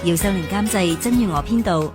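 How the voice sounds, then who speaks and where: A woman's voice: medium pitch, fairly quick. A woman with a Hong Kong Cantonese accent reading scripted radio drama credits as an announcer. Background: music.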